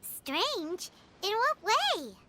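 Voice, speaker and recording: A woman voicing a small, excitable, childlike fairy companion with a squeaky voice in an anime video game. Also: drawn-out words, background noise, exaggerated voice. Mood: curious. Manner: exclamatory, refers to self in third person. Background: music.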